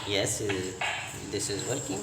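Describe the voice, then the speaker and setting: Slow, creaky man in a noisy echoey room. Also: lowish voice, loud music behind the voice. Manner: halting short phrases, repetitive instructions. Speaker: repair technician giving a tutorial. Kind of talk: unscripted step-by-step repair demonstration. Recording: echoey room, loud noise and clicks.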